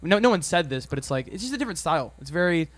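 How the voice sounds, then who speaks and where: A man's voice: fairly high pitch, big pitch swings, very fast, fairly bright tone, no room sound. A young man in casual chat among bandmates, often stammering and restarting his sentences. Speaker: band drummer, podcast guest.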